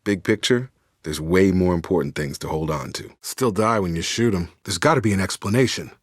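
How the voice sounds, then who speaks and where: A loyal, no-nonsense soldier from a sci-fi video game. His voice sits low and deep. He speaks plainly in a clipped, guarded military manner, recorded dry with no room sound. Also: fairly quick, dry recording. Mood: earnest, reserved. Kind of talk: video game character dialogue.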